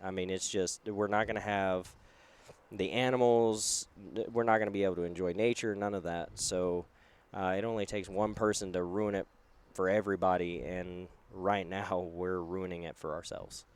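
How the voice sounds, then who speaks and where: A man with a fairly low, smooth, clear voice hosts a casual podcast interview. He rambles loosely and fills his pauses with uh and um. He is recording at a trade show. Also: fairly even intonation. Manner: loose, rambling with many fillers. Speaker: podcast host.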